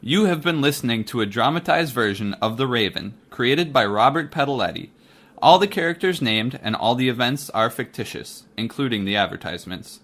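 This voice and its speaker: An actor playing a formal announcer of a radio mystery show, reading a script. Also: male voice, mid-range voice, lively intonation, a little fast, fairly bright tone, faint music behind.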